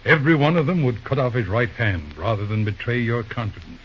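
An actor playing a patient, shrewd older bachelor lawyer uncle in an old-time radio drama, reasoning in an avuncular, hemming and hawing way. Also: male voice, mid-range voice, lively intonation, a little fast, slight background noise, lightly acted. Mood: kindly, reasoning. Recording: muffled old radio show recording.

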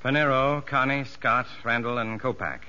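An actor playing a level-headed, earnest prison doctor who narrates a 1950s radio drama in measured, educated speech. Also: male voice, mid-range voice, bright, forceful tone. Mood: firm, earnest. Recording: dull old radio recording, faint music.

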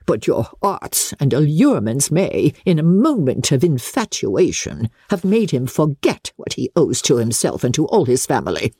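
A woman's voice: sits low, sing-song, moderate pace, few pauses, mellow, breathy edge, no echo, clean studio sound, heavily acted. A woman voicing a haughty older aristocratic lady in a storybook reading, domineering and indignant, with pompous, commanding emphasis. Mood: outraged, commanding.

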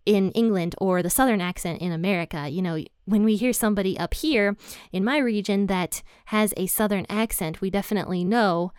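A woman's voice: mid-range voice, a little fast, darkish tone, clean tone, dry recording, studio quality. A woman hosting a casual Bible study podcast, chatty and conversational, often laughing lightly between thoughts. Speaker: podcast host teaching the Bible.

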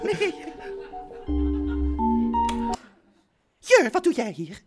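A woman's voice: very high-pitched, wildly swooping pitch, normal speed, over loud music, heavily acted. A woman acting in a radio play as a mild older mother of grown children, soothing and somewhat hesitant in manner. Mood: flustered. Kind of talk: naturalistic radio drama acting.

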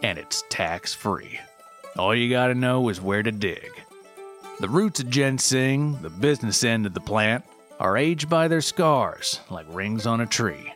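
Casting: male narrator, mid-pitched, deadpan documentary delivery, measured, plucked-string music underneath. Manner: deadpan, measured, mock-serious. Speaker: writer and narrator. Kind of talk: scripted documentary-style narration.

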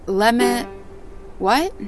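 A woman's voice: fairly high pitch, animated, drawn-out words. An actress playing a skeptical female companion in an audio drama, wry and questioning in natural dialogue. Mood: doubtful.

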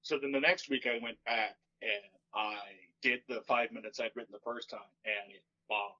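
A man who does stand-up comedy, talking with wry, deadpan storytelling in a fairly monotone voice. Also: medium pitch, moderate pace, lo-fi sound. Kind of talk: casual podcast chat.

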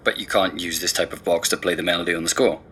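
Bright, low-pitched sceptical man. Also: fairly even intonation, fast, a little hiss or hum. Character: sceptical, inquisitive man helping an investigation. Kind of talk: naturalistic audio drama dialogue.

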